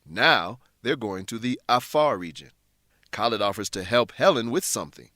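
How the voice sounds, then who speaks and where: A man narrating and announcing a scripted radio drama, with clearly enunciated, presentational delivery. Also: medium pitch, animated, normal speed, sharp tone, no echo, clean studio sound.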